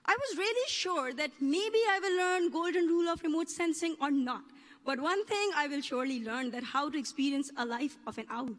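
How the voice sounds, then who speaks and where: High-voiced woman, amplified in a hall. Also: a bit bright. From Pakistan. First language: Urdu. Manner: earnest with playful humor, careful formal phrasing. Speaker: student speaker. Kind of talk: graduation speech. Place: ceremony hall on stage.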